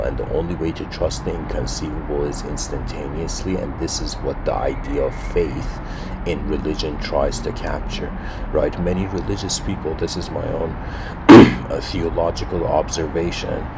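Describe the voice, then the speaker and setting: Very deep-voiced man over loud traffic. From Iran. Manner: slow, halting, searching for words, frequent throat clearing. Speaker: lecturer. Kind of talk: spiritual lecture, unscripted musing. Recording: loud traffic noise outdoors.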